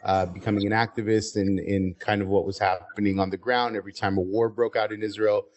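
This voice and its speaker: Podcast guest: American male, lower register, articulate, explains as he goes. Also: little pitch movement, fairly quick, darkish tone. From United States, Southern California. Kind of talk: interview conversation.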